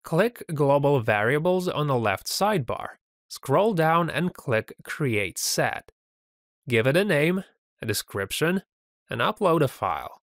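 A man presenting a scripted software tutorial voice-over, upbeat and giving step-by-step instructions. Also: fairly high pitch, sing-song, measured pace, no echo, clean studio sound.

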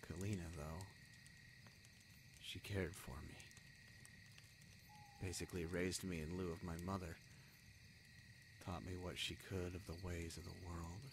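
Low-voiced, wounded young exiled prince, acted by a man. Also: level tone, noisy background. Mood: subdued, resigned. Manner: halting, emotional delivery with heavy pauses. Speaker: voice actor in an audio roleplay. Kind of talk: fantasy audio drama monologue.

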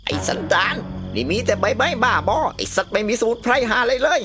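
Woman playing a brash, cranky old cartoon grandmother, swooping pitch. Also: very animated, quick pace, over music. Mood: scolding, cursing. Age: older. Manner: brash, scolding, cursing bluntly. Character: cranky, foul-mouthed old village grandmother. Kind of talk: cartoon comedy dialogue.

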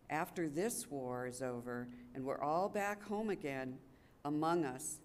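A woman's voice: sits low, darkish tone. An older woman reads a wartime letter aloud as a readers theater performer at a podium microphone. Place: public gallery event room, indoors. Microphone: gooseneck podium microphone. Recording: clearly noisy live room recording.

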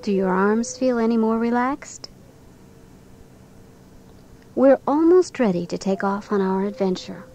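A woman narrating a guided bedtime relaxation for children in a gentle, soothing, unhurried manner. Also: mid-range voice, animated. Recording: clearly noisy recording with background ambience.

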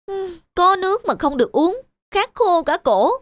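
A woman voicing an eager, obedient young male disciple in a cartoon folktale, respectful and expressive. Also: very high voice, moderate pace, no room sound. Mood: frustrated. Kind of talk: cartoon voice acting. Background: faint music.

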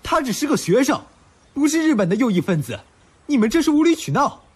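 An actor playing a righteous, kind young male university student in a radio drama, with a husky voice. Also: extremely high pitch, very animated, a little fast, full character voice. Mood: indignant. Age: young adult. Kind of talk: Mandarin radio drama acting.

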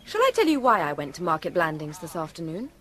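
A woman's voice: on the low side, sing-song, fast, fairly bright tone. An actress playing a spirited, sensible young English lady in a radio comedy, forthright and easily exasperated. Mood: stern.

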